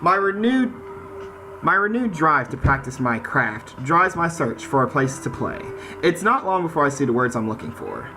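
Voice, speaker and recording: A man's voice: on the high side, very animated, normal speed. A man hosting a gaming video, chatting casually and reading game text aloud. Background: game soundtrack music.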